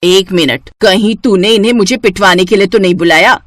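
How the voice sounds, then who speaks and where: A woman's voice: mid-range voice, a little fast, a bit bright, no echo, close to an everyday voice. A woman voicing a spiteful, grumbling older mother-in-law who nags and accuses in a moral story. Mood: accusing, grumbling. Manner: nagging, accusing. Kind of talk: animated Hindi moral-story voice acting.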